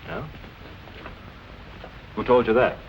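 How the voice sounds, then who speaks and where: A man's voice: medium pitch, little pitch movement, slow pace, dull audio, close to an everyday voice. An American man playing a concerned, guarded fiancé in the acting style of a 1940s film. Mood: guarded, curt. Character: concerned, guarded fiancé of a stubborn young woman. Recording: noisy 1940s film soundtrack.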